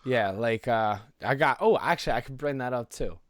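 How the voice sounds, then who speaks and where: A man talks as a podcast co-host in a dry, close-sounding recording. His pitch is medium, and his delivery is informal and conversational, peppered with rhetorical check-ins. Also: moderate pace, dry recording. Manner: informal, rhetorical tag questions.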